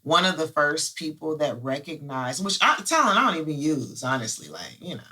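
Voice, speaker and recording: A woman guest with a low, husky, hoarse voice, telling stories in a heartfelt, animated way in casual chat. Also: brisk.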